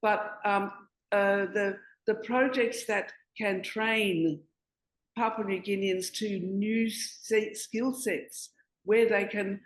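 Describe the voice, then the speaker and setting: A woman's voice: medium pitch, fairly even intonation, slow pace, echoey room, poor audio. A woman speaking as a panelist, earnest, correcting herself as she goes. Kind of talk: panel discussion.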